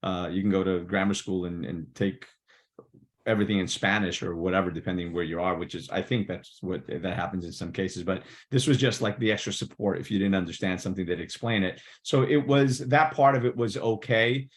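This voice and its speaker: Guest, Portuguese-born man, low register, loose rambling storyteller, plenty of "you know". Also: brisk. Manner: rambling with many fillers and restarts. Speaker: podcast guest. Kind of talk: casual interview storytelling. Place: New Jersey.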